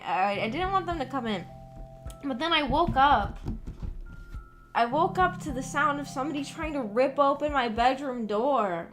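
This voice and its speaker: High-voiced woman chatting on a livestream. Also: fairly bright tone. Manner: rambling, giggly, self-conscious. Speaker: livestream host. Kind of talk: casual chat with stream viewers. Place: at home in her room. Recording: music playing behind the voice. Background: background music.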